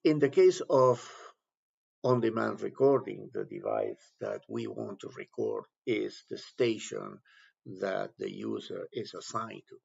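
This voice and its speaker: A man with a low, very gravelly voice walks through a software task step by step. He explains in short, halting phrases, as an instructor would. The recording is somewhat dull and lo-fi. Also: sing-song. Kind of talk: step-by-step software screen tutorial.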